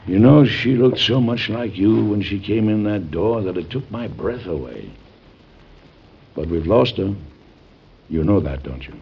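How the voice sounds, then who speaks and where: Gravelly, stern but doting old-radio father. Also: fairly low pitch, lively intonation, measured pace, a little hiss or hum. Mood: wistful. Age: middle-aged. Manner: formal, florid, lecturing speech. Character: stern, doting, fretful father of grown daughters. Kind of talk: classic American radio drama acting. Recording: muffled old radio recording.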